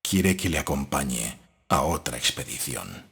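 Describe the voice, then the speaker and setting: A man's voice: a bass voice, big pitch swings, measured pace, vocal fry, a bit bright, husky. A man reading gothic fiction as an audiobook narrator, in a scripted, dramatic style. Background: faint music.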